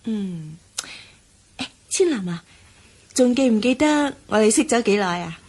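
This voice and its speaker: A woman's voice: mid-range voice, lively intonation, measured pace, darkish tone. An actress playing a spoilt, inquisitive young female model in a Cantonese radio drama, chatty and confiding.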